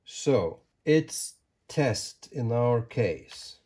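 A man, explaining steps deliberately and emphatically in a tutorial style. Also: medium pitch, big pitch swings, darkish tone, husky. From Lithuania. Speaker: ham radio tutorial presenter. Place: small home radio room, indoors.

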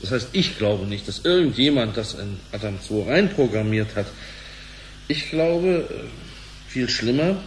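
A man's voice: fairly low pitch, animated, measured pace, slight background noise, poor audio. An actor playing an earnest, polite, cautious businessman in a radio play, speaking in a formal and hesitant manner. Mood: worried. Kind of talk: German radio play acting.

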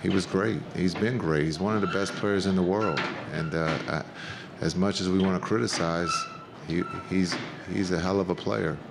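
Deep, flat-voiced coach at press table. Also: male voice, creaky, noisy background. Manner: measured, plain-spoken, many fillers. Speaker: head coach. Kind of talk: postgame press conference answers. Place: press conference room with backdrop. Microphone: table microphone.

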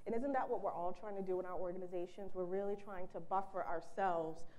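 Woman giving a lively keynote. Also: fairly low pitch, brisk. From Boston, USA. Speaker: keynote speaker. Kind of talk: conference keynote speech. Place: large bright conference room. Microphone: handheld mic, then lapel mic.

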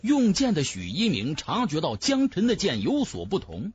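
A man's voice: a little high, huge pitch swings, normal speed, breathy edge, dull audio, faint music behind. A man narrating a fantasy novel in a theatrical, emphatic style, as a scripted audiobook.

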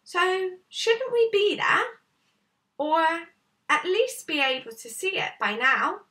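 Lady doing a worried little storybook boy, high pitched and swoopy, hesitant, distant mic. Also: very animated, slow, pushed and bright, full character voice. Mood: anxious, questioning. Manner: hesitant, questioning. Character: puzzled, anxious, questioning young boy. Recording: slightly distant camera microphone.

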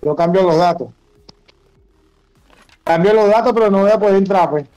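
High-voiced Venezuelan man, bossy gamer. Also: moderate pace, warm tone, lo-fi sound. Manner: slangy, bossy, repetitive instructions. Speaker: gamer livestreamer. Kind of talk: casual chat while playing an online game.

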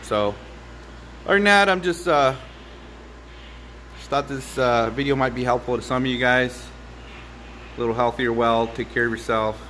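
A middle-aged man in a closed home garage, rambling and halting through a casual welding demonstration, filmed on a phone set on the bench. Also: mid-range voice, bright, forceful tone. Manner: rambling, halting with many fillers. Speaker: hobby welder vlogger. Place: closed home garage workshop with air conditioning running. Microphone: phone camera set on the bench, sometimes far off. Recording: faint air conditioner hum in a garage.

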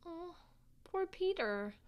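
A woman voicing a curious, frightened little girl in a family audio drama, talking in a soft, childlike way. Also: very high-pitched, sing-song, slow, muffled recording, heavily acted. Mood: timid. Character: scared little girl, young sister, sweet and curious.

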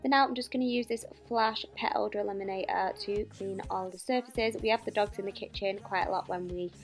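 A woman's voice: mid-range voice, a little fast. A young adult woman with a British accent gives a casual, chatty voice-over about household chores. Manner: chatty, informal. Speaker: home cleaning vlogger. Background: background music.

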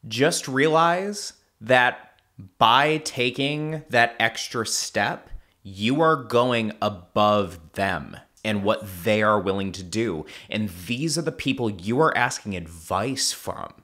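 A young man in his twenties giving earnest, deliberate conversational advice as a podcast co-host on a studio microphone. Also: fairly low pitch, animated, pushed and bright. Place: small indoor podcast studio. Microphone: studio mic on boom arm.

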